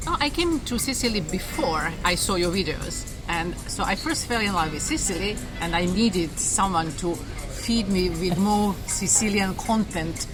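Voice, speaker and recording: A woman's voice: mid-range voice, lively intonation, a little slow, low-quality recording. Hesitant Serbian woman, music behind. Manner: hesitant with many fillers and restarts. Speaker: viewer interviewed while traveling. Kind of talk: casual interview chat.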